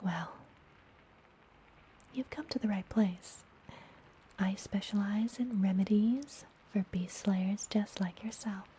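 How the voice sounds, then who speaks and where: Woman as a whispering, unhurried forest witch. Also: medium pitch, a little hiss or hum, close to an everyday voice, poor audio. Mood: soothing, teasing. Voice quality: soft, breathy whisper. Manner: gentle, slow, playfully teasing. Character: friendly, mysterious, teasing forest witch and apothecary healer. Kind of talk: ASMR fantasy roleplay.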